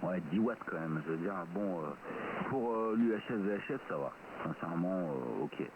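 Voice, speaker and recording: A man's voice: low-pitched, lively intonation, normal speed. A man chatting informally and hesitantly over a two-way radio. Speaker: radio amateur. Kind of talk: casual radio chat. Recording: tinny, very noisy radio link.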